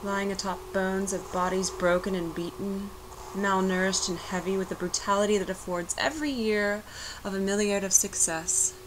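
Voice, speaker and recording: A woman reciting a poetic spoken-word narration for a video art piece, measured and reflective. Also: medium pitch, steady tone. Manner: measured, reflective, unhurried delivery. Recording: voice-over with clear background noise.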